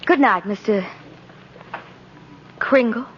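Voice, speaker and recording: A woman acting a polite, sceptical businesswoman and mother in a measured, reserved 1950s radio drama style. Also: on the high side. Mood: mildly doubtful. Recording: muffled, very noisy old radio recording.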